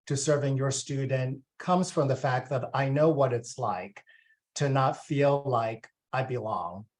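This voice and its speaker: A man in his forties from Taiwan, a university student affairs vice president, talking to families in a relaxed way with many ums and uhs. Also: mid-range voice. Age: 40s. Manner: conversational with frequent ums and uhs. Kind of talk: presenting to families at a welcome session.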